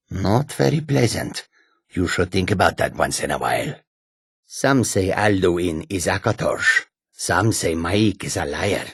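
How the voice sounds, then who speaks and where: A man voicing a sly, whimsical male cat-folk wanderer in a video game, with a raspy, husky voice, deliberate clipped phrasing. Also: deep voice, no room sound, studio quality, full character voice. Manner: speaks of himself in third person, deliberate, clipped phrasing. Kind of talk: video game character dialogue.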